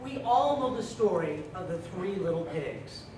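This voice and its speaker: Male contest speaker, humorous tall-tale delivery, lively and theatrical, recorded from afar in a very echoey room. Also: sits high. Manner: theatrical, with drawn-out punchlines. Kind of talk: humorous storytelling speech to a live club audience. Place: meeting room before an audience. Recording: echoey room from far off, loud noise.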